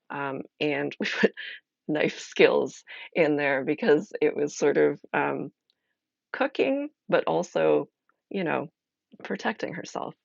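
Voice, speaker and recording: A woman streamer chatting casually as she explains a solo role-playing game, halting and thinking aloud. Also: deep voice, gravelly, slightly rough, no room sound, highs cut off.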